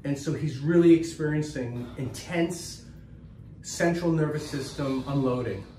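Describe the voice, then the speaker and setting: A man's voice: fairly high pitch, a little slow, warm tone. A man, chatting bluntly and jokingly as a chiropractor working on patients. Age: 50s. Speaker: chiropractor, host. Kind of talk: casual chat with patients while working. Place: small treatment room indoors. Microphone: handheld camera a few feet away. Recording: roomy, distant camera mic, faint noise.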